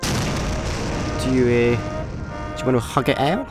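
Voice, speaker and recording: A man with a Scottish accent acting a laid-back, deadpan space layabout in a comic radio play. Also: medium pitch, slow pace, loud music behind the voice. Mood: nostalgic, awkward. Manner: deadpan, hesitant understatement. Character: laid-back, careless male space layabout, drily unbothered.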